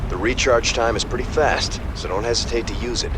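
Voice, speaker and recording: A man's voice: medium pitch, brisk, noisy background, natural voice. A man playing a dutiful military officer in a video game, reporting threats in an alert, clipped, urgent way. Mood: advising. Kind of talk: video game mission dialogue.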